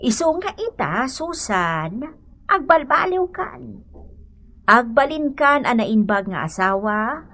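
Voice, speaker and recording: A woman's voice: a little high, big pitch swings, slow pace, pushed and bright, full character voice. An actress with an Ilocano accent playing an older woman earnestly counselling a young wife in a radio drama, in an emphatic, motherly, admonishing manner. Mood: earnest advising.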